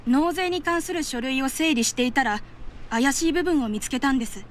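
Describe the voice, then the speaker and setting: A woman's voice: sits high, fairly quick, a little hiss or hum, lightly acted. A woman voicing an earnest young junior clerk in an anime game, deferential and formal. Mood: troubled.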